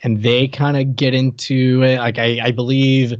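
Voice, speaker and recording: A man's voice: mid-range voice, flat delivery, normal speed, dry recording, highs cut off. A man chatting casually as a podcast co-host, blunt and teasing in his delivery. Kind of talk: casual chat, TV show recap.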